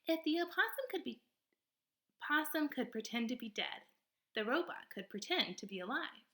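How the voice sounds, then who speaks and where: A woman's voice: high-pitched, big pitch swings, moderate pace, sharp tone. A woman reading a storybook aloud as narrator, expressively, with casual spoken asides.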